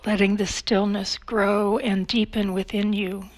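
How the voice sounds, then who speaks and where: A woman instructs a guided meditation in a calm, measured manner. She has a mid-range voice and leaves long pauses between her phrases. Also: mid-range voice.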